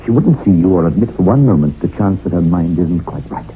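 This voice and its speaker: An actor playing a worried, weary husband who speaks hesitantly and nervously, in a radio horror drama. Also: male voice, low-pitched, lively intonation, brisk. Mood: reluctant. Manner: hesitant, subdued. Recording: tinny, hissy old radio drama recording.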